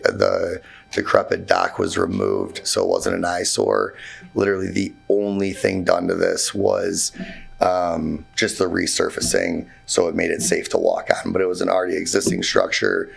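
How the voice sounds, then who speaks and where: A hoarse man with a very low, deeply gravelly voice speaks in a meeting room. His talk is halting and informal, full of fillers and restarts, and his voice sounds husky and nearly gone. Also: sing-song. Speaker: contractor presenting to a zoning board. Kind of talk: informal presentation at a public meeting.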